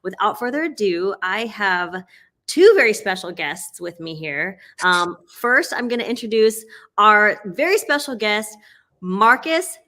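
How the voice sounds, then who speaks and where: A woman leads a casual interview with a bright, forceful voice. She talks upbeat, laughs often and fills pauses with "uh" and "um". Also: mid-range voice, lively intonation. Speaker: host.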